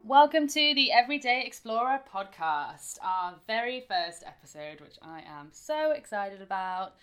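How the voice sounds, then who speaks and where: A woman's voice: medium pitch, very animated, normal speed, fairly bright tone, faint music behind. Eager, chatty British woman hosting. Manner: eager, chatty, laughs easily. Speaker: podcast host. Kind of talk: casual interview chat. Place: indoors in a home.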